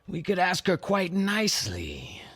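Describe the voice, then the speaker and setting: A man voicing a sly, shady sea captain with piratical slang and a husky, growly voice in an audio drama. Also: high-pitched, huge pitch swings, measured pace, fairly bright tone, dry recording, studio quality, exaggerated voice. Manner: piratical slang, "aye" and "arr".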